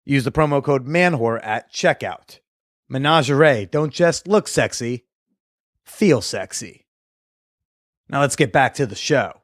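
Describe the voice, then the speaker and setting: A man's voice: mid-range voice, lively intonation, measured pace, pauses often, dry recording, clean studio sound. Man hosting a podcast chat. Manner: short questions and back-channel replies. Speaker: podcast host. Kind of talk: casual interview chat.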